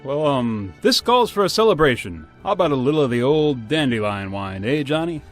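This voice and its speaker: A man's voice: mid-range voice, very animated, brisk, soft background music, lightly acted. An actor playing a folksy, jovial, hospitable old father in a radio drama. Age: older.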